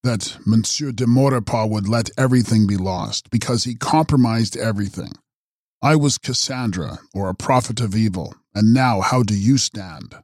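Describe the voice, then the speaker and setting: A man voicing a mysterious, ageless male count who makes grave, measured prophecies in an audiobook. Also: lowish voice, darkish tone, no room sound, clean studio sound, natural voice. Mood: lamenting. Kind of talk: audiobook character reading.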